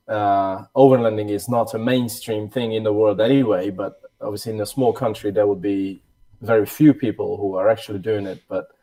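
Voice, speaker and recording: Low, mellow man. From Hungary. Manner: measured, thoughtful, hesitant fillers. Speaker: podcast guest, overland traveler. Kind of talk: conversational interview answers.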